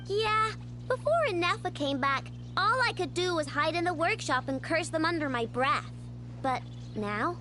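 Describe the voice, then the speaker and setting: Game role: feisty kid inventor, stubborn, dramatic, very high voice, animated delivery, music behind. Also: female voice, full character voice. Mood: grumbling. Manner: emphatic, theatrical, drawn-out words. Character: feisty young girl mechanic, stubborn and dramatic. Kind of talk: video game voice acting.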